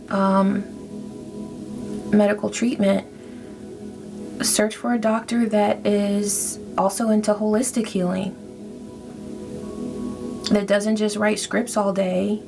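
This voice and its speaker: Female tarot reader, gravelly mid-range voice, reassuring check-ins, music underneath. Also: medium pitch. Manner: reassuring, repeats "okay?" often. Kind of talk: casual spiritual tarot reading.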